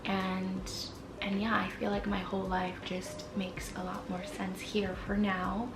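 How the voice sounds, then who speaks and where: A woman's voice: medium pitch, monotone, a little slow, reverberant. Young woman vlogger with Spanish as her first language, chatty and candid, recorded from a distance with noise behind. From Mexico. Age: 20s. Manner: candid, chatty, frequent fillers. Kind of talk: casual chat to camera. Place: her apartment.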